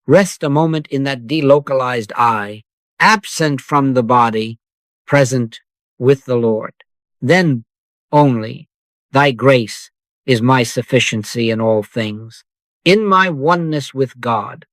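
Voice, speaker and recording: A man guides a spiritual sleep meditation at a very slow, soft pace, leaving long pauses between phrases. His voice sits in an ordinary middle pitch and is recorded close and dry, with no room sound. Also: dry recording.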